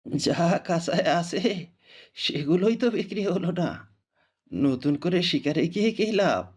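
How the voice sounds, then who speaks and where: A poor bird-hunter father in a cartoon, voiced by a man. He is weary and resigned, grumbling fatalistically in a high male voice. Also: expressive, normal speed, husky. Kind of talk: cartoon voice acting.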